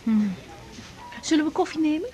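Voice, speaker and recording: An actress playing a sensitive young female doctor in a 1980s radio drama, speaking in a hesitant, soft-spoken way. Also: sits high, lively intonation, normal speed, soft background music. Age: young adult.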